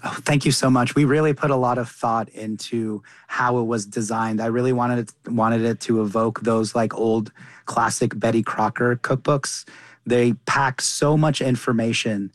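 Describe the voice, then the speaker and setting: A man's voice: mid-range voice, steady tone, moderate pace, no room sound. A man from Qatar chatting casually as an interview guest, earnest and often rephrasing himself as he goes. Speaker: interview guest, chef and cookbook author.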